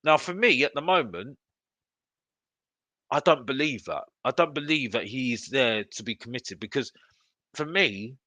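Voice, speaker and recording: Bright, emphatic man ranting. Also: mid-range voice, expressive, unhurried, long pauses, no room sound. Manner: emphatic, repetitive, exasperated. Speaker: host of a football fan show. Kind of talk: live opinionated fan rant to viewers.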